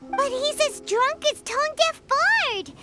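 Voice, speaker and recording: An actress voicing a tiny, childlike sidekick in a video game, curious, cheeky, blunt and eager. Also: female voice, very high voice, animated, slow pace, exaggerated voice. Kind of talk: video game cutscene acting. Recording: loud game music behind.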